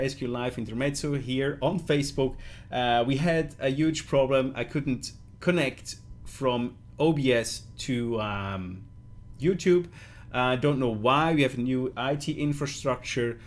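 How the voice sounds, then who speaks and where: A middle-aged man with a Swiss German accent runs a casual live stream in a loose, chatty manner. He flips between English and German and keeps stopping to fill gaps and correct himself. His pitch sits at an ordinary middle height. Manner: loose and chatty, with frequent fillers and self-corrections. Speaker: host of a product live stream.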